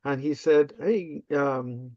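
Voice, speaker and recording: An older man from Peru, a native Spanish speaker, answering interview questions casually and haltingly with frequent ums and uhs. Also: on the high side, no echo. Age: 50s or older. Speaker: interview guest, language access specialist. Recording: slightly dull, lo-fi close audio.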